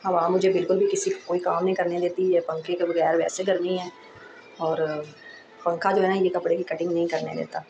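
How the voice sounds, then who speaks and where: A woman hosting a sewing tutorial, speaking in a casual, reassuring way while she explains each step, with a hoarse voice from a sore throat. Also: on the low side, flat delivery, a little fast, darkish tone, dull audio, a little hiss or hum. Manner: chatty and reassuring, frequent "accha ji".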